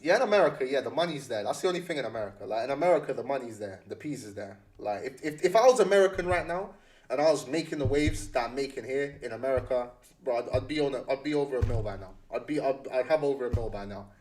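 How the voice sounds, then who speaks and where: A man's voice: mid-range voice, expressive, fast, a little mellow. Young london guy just chatting on stream, lots of slang, says stuff over and over. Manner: slangy, repeats phrases for emphasis. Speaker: livestreamer. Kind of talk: casual chat to viewers.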